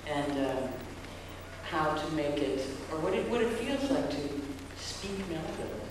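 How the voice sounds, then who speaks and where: A woman who created a stage piece, answering audience questions at a panel in a thoughtful, halting way with many pauses. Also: sits low, expressive, background noise. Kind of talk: post-show Q&A panel talk. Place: large theater hall. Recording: echoey hall, picked up from far off.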